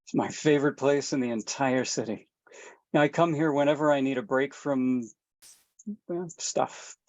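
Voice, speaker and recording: A woman's voice: extremely low pitch, moderate pace, darkish tone, no echo. A woman reading the part of an easygoing middle-aged father with a dry, joking way of talking. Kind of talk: table read. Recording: dull, dry recording.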